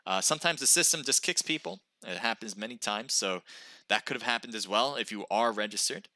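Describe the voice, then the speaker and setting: Male stream caster, punchy play-by-play, sharp bright voice, dead dry sound. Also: medium pitch, brisk, no room sound. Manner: excited calls during action, chatty when reading chat. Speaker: esports caster and stream host. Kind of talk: live play-by-play commentary.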